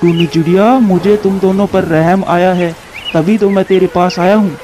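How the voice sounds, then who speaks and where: A stingy crow landlord from a cartoon, acted by a man, stern and demanding. The voice sits high for a male and is mellow rather than sharp, with music playing behind it. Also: steady tone, moderate pace, lightly acted. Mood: firm, bossy. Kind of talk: Hindi cartoon dialogue.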